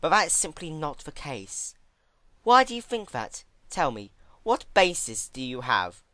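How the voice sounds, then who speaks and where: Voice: mid-range voice, sing-song, a bit bright, dry recording, pitched above the performer's own voice. Narrator doing a lofty, ancient female pony goddess. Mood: calm condescension. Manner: measured, lofty, chiding. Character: serene, haughty ancient female pony goddess, gently condescending.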